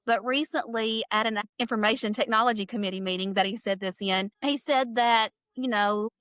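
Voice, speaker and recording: Emphatic woman, high and dry. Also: fairly bright tone, clear, resonant tone, dry recording. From Kentucky. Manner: emphatic and upbeat, quick bursts, laughs often. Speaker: state senate candidate. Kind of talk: energetic campaign interview.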